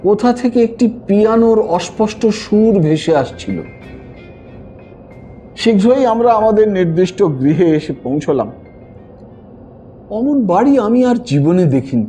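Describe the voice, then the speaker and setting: A man narrates a classic tale dramatically. His pitch moves in lively swings, and the tone stays soft and mellow. Faint ambient noise sits behind him. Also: a little slow, pauses often, faint music behind. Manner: expressive, theatrical storytelling. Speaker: story narrator. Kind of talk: dramatic audio-story narration of classic fiction.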